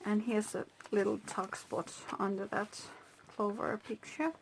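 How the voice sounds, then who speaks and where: A Finnish-native woman hosting her own craft channel, talking through a handmade journal slowly, with pauses and soft whispered asides. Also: medium pitch, steady tone, creaky, a little hiss or hum, poor audio. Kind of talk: casual crafting flip-through.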